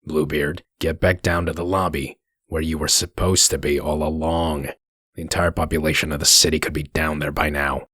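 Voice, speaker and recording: A man playing a gruff, commanding werewolf detective in a comic dub, curt and threatening, with a gravelly voice. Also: extremely low pitch, flat delivery, moderate pace, pushed and bright, no room sound, clean studio sound, exaggerated voice. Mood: stern, menacing.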